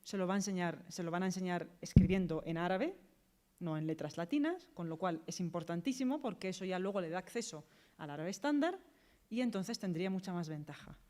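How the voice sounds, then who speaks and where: A woman's voice: lowish voice, animated, a little fast, warm tone. A woman with an accent from Spain answers as a university language lecturer, explaining in a direct, practical way with quoted examples. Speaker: university Arabic teacher and lecturer.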